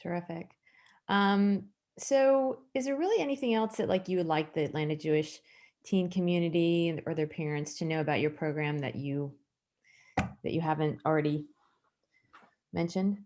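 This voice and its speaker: A woman's voice: on the low side, steady tone, normal speed, darkish tone. A woman hosting a livestream interview over a video call, enthusiastic and with lots of filler words. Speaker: host.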